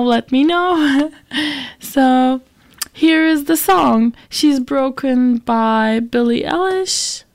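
A woman's voice: sits high, darkish tone. A young woman hosting a student radio music show in casual, rambling, self-deprecating chat, her voice recovering from a recent illness. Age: young adult. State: recovering from a week of sickness.

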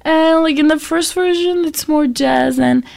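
A woman's voice: high voice, unhurried, gravelly, a little mellow. A young woman hosting a student radio music show in casual, rambling, self-deprecating chat, her voice recovering from a recent illness. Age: young adult. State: recovering from a week of sickness.

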